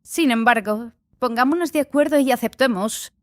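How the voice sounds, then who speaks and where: An actress playing an earnest, argumentative companion to a scholar in an audiobook drama, speaking emphatically and eloquently. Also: female voice, on the high side, moderate pace, no echo, lightly acted. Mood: persuasive. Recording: music behind the voice.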